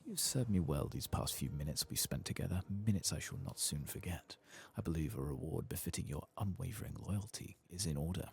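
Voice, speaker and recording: A man voicing a pompous, condescending rich adventurer from a fantasy game, softly and breathily, with haughty, florid phrasing. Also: extremely low pitch, animated, brisk, vocal fry, hardly any pauses, a little hiss or hum, exaggerated voice. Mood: grandiose.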